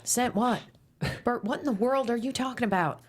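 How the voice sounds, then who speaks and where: A woman's voice: fairly high pitch, moderate pace, close to an everyday voice. An actress playing a bossy middle-aged mother at a table read, prim and scolding, swapping swear words for tame ones. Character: bossy middle-aged Midwestern mom, Sally.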